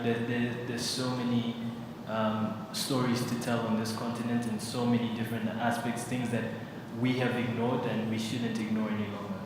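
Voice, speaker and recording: A fashion designer speaking on a conference panel, thoughtful, with many fillers and restarts. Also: male voice, medium pitch. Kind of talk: panel discussion. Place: large conference hall on stage. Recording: very echoey hall with background noise.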